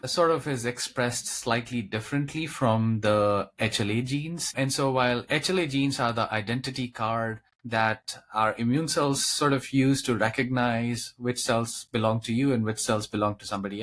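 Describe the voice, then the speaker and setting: A man's voice: medium pitch, a bit bright. A man speaking as a physician guest in an interview, explaining medical matters in plain lay terms. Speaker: expert guest, transplant physician.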